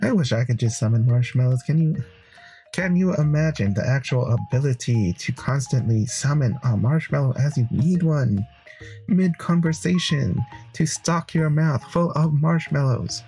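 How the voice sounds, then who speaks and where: A man's voice: medium pitch, lively intonation, normal speed, warm tone, poor audio. Man streaming a game, music behind him. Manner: slangy, exclamatory asides. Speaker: streamer playing own visual novel. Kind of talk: reading game script aloud with casual asides.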